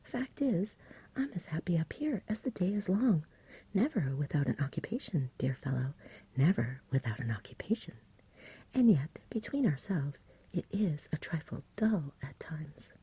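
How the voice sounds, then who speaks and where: A woman voicing a courteous, fussy, sociable male dragon in a breathy, whispery ASMR storybook reading. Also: sits low, sing-song, normal speed, mellow, dry recording, heavily acted. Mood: hasty, sociable. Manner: genteel, chatty, fussy.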